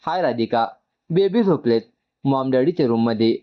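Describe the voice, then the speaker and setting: A man voicing a composed, businesslike middle-aged mother in a story, with measured, matter-of-fact delivery. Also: mid-range voice, lively intonation, highs cut off, close to an everyday voice. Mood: composed, firm.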